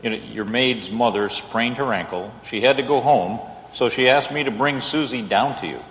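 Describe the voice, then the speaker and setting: A man's voice: mid-range voice, moderate pace, fairly bright tone, close to an everyday voice. A man playing an affable, apologetic neighbor in a radio play, speaking hesitantly. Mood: sheepish, apologetic. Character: affable, sheepish male neighbor, apologetic and easygoing. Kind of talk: old-time radio play acting. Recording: echoey hall with faint ambient noise.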